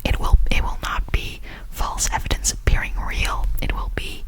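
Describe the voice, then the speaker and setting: A young woman vlogging in a whisper, rambling, giggly and self-conscious as she talks to her viewers. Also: moderate pace. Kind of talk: whispered ASMR vlog.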